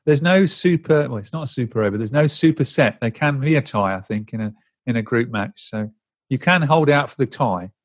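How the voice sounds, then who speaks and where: A man's voice: mid-range voice, animated, fairly quick. Chatty male podcast host. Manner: chatty, hesitant with many fillers. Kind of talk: conversational podcast interview.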